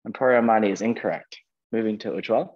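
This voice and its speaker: A man with a fairly low voice works as a quizmaster, presenting questions and adjudicating answers. The sound is somewhat dull, as on an online call. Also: fast talker. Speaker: quizmaster, host. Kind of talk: reading quiz questions and ruling on answers.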